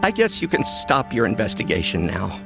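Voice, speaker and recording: A man's voice: fairly low pitch, very animated, a little fast, creaky, music in the background. A man voicing an anxious, caring boss in a video game, speaking with earnest emotion. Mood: troubled. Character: anxious, caring male boss fretting over his staff.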